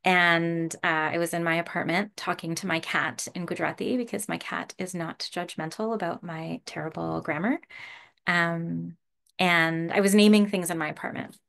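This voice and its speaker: Woman, meditation teacher, casual talk, a little gravelly, easy laughs, lots of ums, video call. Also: on the low side, moderate pace. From Canada. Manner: relaxed, laughs often, many fillers.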